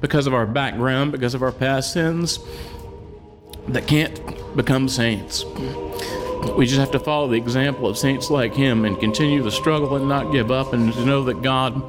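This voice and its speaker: A man's voice: medium pitch, moderate pace, over music. A man who is a priest, delivering a sermon. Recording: clear background noise, live room sound.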